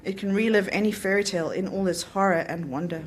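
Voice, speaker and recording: A woman's voice: medium pitch, quick pace, poor audio. A woman reading a literary short story aloud at an event, in the narrator's voice. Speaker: author reading own story.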